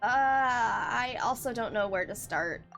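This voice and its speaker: A woman's voice: on the high side, lively intonation, slow pace, fairly bright tone. A woman game streamer reading dialogue aloud during a livestream. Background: game music.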